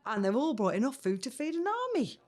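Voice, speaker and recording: Animated, reassuring young 1950s daughter. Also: female voice, high voice, brisk. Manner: gently coaxing, reassuring. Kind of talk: audio drama dialogue.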